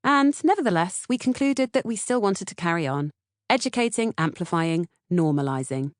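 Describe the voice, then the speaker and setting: A woman's voice: fairly low pitch, animated, normal speed, no room sound, clean studio sound. A woman with a British accent reading scripted audiobook narration. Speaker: author-narrator reading audiobook, podcast co-host.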